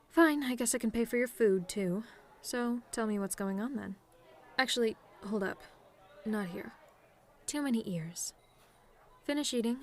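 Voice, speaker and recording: A woman's voice: mid-range voice, natural voice. A woman playing a cocky, sarcastic tomboy demon with a casual, teasing delivery in an audio roleplay. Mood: wry bargaining. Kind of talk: ASMR-style scripted roleplay monologue.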